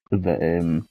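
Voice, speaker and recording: A Scottish man with a cold and a hoarse throat, rambling and swearing in a self-deprecating way through a casual gaming vlog. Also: low-pitched, a little mellow, no room sound. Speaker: gaming channel host.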